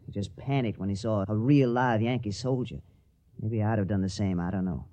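An actor playing a grave, honorable young Confederate volunteer in a radio drama, speaking earnestly. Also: male voice, fairly low pitch, fairly quick, mellow, dry recording, close to an everyday voice.

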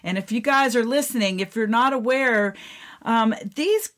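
A woman's voice: a little high, moderate pace, fairly bright tone. A middle-aged American woman hosting a casual radio interview, chatty and effusive as she talks. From Florida, United States.

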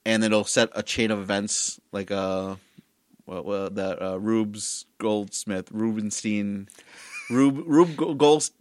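A man's voice: on the low side, slow, no room sound. A man co-hosting a casual movie review podcast, a bit tipsy, rambling and stumbling over his words as he jokes. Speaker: podcast co-host.

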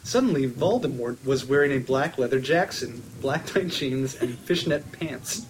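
A man's voice: mid-range voice, lively intonation, moderate pace, background noise. A man on a podcast giving a comedic dramatic reading of fan fiction.

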